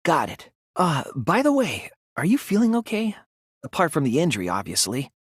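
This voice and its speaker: A man voicing an earnest, anxious, thoughtful teenage student detective in a video game, with a hesitant, soft, slightly husky delivery. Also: on the high side, wildly swooping pitch, normal speed, no echo, clean studio sound, full character voice. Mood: concerned, gentle. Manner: hesitant, stammering when flustered, earnest. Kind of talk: English video game character voice acting.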